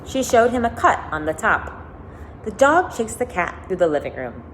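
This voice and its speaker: A woman teacher reading a children's novel aloud expressively and pausing to think aloud for her listeners. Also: medium pitch, sing-song, a bit bright, slight background noise. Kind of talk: read-aloud storytelling with commentary.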